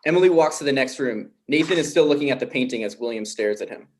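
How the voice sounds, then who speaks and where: A man hosting a table read and narrating stage directions in an informal, somewhat halting way. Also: mid-range voice, lively intonation, a little hiss or hum.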